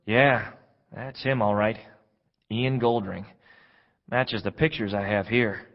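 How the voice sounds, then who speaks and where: An actor playing a weary, sympathetic forensic detective in an audio drama, informal and reflective in a noir style. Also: male voice, fairly low pitch, fairly even intonation, takes it slowly, no echo, dull audio. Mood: sympathetic, subdued. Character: weary, sympathetic male forensic detective narrating his case. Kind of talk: audio drama acting and narration.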